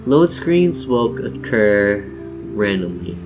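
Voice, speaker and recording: A man's voice: on the low side, sing-song, slow pace, over music. A man hosting a tutorial in a casual, playful way, talking viewers through each step as if chatting with them. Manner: playful, jokey, addresses viewers as "you guys". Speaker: tutorial host. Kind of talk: casual instructional screen-share narration.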